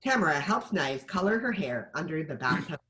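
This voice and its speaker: A woman's voice: low voice, sing-song, normal speed, a bit bright. A woman reading stage directions as scripted narration at a screenplay table read.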